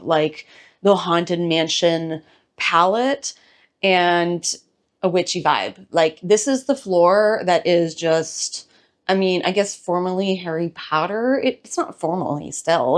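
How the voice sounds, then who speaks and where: Hoarse, bubbly woman giving a home tour. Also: lowish voice. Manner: bubbly, rambling, self-deprecating. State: hoarse, recovering from illness. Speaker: host of a home decor channel. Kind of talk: casual chatty home tour.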